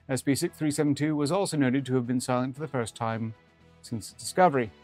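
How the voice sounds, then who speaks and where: A man's voice: medium pitch, darkish tone. A man reading fiction aloud as a streamer, with dry, rambling asides in formal wording. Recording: music behind the voice.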